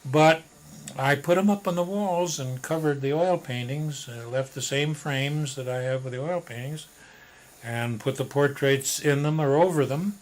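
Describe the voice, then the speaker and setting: An elderly American man reminiscing in an interview, with halting, folksy storytelling. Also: a little high. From United States, Arizona. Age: 70s or older. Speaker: interviewee, veteran photographer. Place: his home studio. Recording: noisy old interview tape.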